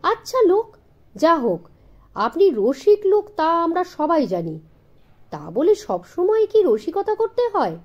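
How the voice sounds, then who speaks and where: A woman's voice: very high voice, sing-song, slow pace, darkish tone, lo-fi sound, pitched above the performer's own voice, exaggerated voice. A woman voicing an old male servant in a storybook, jovial, insistent and easily exasperated. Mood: exasperated insistence. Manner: insistent, familiar, scolding.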